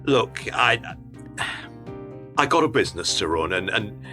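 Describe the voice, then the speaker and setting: Nervous male bar owner, stammering, apologetic, bright voice, jumpy intonation, music behind, radio drama. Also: fairly low pitch, expressive, a little slow. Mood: awkward, apologetic. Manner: stammering, hesitant. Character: flustered, apologetic club owner, awkward and evasive. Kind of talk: radio play acting.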